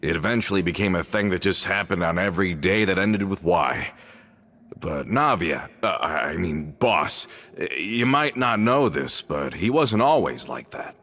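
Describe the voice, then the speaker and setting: A deep-voiced loyal guild man. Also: animated, measured pace, fairly bright tone, heavily acted. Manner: plain-spoken, slightly hesitant. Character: loyal man, guild member, bluntly practical.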